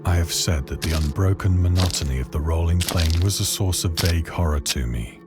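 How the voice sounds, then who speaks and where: A man playing a haunted castaway, narrating a horror tale in a measured, solemn, literary manner for a game. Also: sits low, lightly acted. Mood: dread. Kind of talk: scripted dramatic narration of a horror story. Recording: loud music under the voice. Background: loud music.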